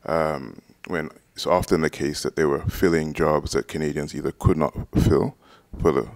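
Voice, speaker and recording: A man in his thirties explains in a documentary interview, measured and full of ums. His voice sits extremely low with a gravelly fry. The handheld mic is close and the sound is dry. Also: steady tone, no echo. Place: indoors, red-walled room, seated on couch. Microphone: handheld mic close to mouth.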